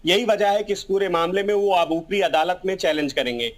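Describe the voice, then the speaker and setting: A man works as a field reporter on a live news report. His voice is high-pitched, and his phrasing is halting and circles back on itself.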